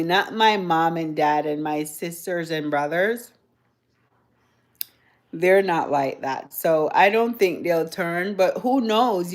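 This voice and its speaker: A Jamaican woman chats live with her viewers into a phone or webcam. She talks at an unhurried, drawn-out pace in a slightly low voice. She rambles and laughs often. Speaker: livestream host. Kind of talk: casual live chat with viewers.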